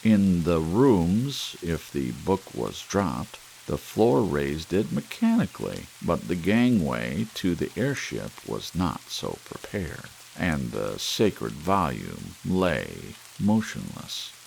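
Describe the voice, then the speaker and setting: A man reading an audiobook in an ASMR style, deliberately and with expressive phrasing, in a deep, gravelly voice. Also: slight background noise, rough recording. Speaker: audiobook narrator. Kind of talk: scripted ASMR audiobook narration. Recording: faint rain sounds behind voice. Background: steady soft rain.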